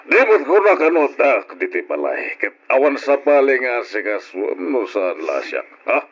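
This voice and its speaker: A husky man a cruel, jealous husband in an old radio drama, with extremely animated, swooping pitch. He is accusing and menacing, a bully ready to explode. The sound is muffled and lo-fi. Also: moderate pace. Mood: accusing anger.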